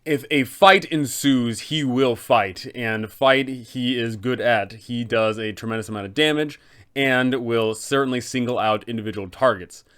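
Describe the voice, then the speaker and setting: A man's voice: mid-range voice, a little slow, fairly bright tone, smooth. A man hosting a guide for game masters, narrating from a script how to play a villain.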